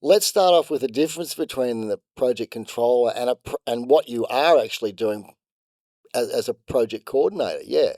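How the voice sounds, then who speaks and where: A man's voice: medium pitch, lively intonation, moderate pace, mellow, no echo, clean studio sound. A middle-aged man hosting a podcast interview, rambling and restarting his sentences. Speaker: podcast host. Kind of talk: casual interview chat.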